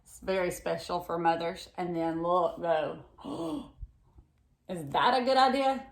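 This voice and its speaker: A woman reads a picture book aloud to young children in an expressive way, pausing to explain and ask them simple questions. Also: fairly low pitch, gravelly, echoey room. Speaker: read-aloud storyteller for children.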